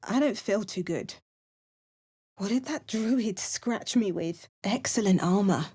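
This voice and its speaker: Wry young adventurer. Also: female voice, medium pitch, lively intonation, slow, dark tone, breathy edge. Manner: dry, wry asides. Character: wry, self-assured young woman adventurer. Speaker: player character adventurer. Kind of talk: game voice lines.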